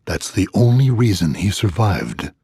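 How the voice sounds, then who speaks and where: A village innkeeper from a fantasy game. His voice is husky and fairly low, with a very lively, swinging delivery. He is folksy and gossipy, wary of strangers, and recorded close and dry. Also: a little slow, no room sound, full character voice. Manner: folksy, gossipy rustic phrasing. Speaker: innkeeper character. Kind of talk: video game voice acting.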